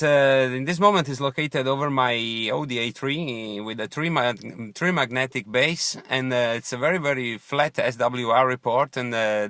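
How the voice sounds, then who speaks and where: Bright-voiced Italian-accented man on ham radio. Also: mid-range voice, no echo, studio quality. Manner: halting non-native English, courteous. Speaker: amateur radio operator. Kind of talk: unscripted ham radio contact. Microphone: original stock transceiver microphone.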